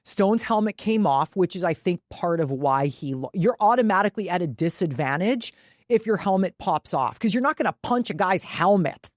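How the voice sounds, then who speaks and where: A woman's voice: fairly low pitch, lively intonation, normal speed, dry recording, clean studio sound. A woman co-hosting a sports podcast, talking casually and emphatically with loose swearing. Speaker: podcast co-host.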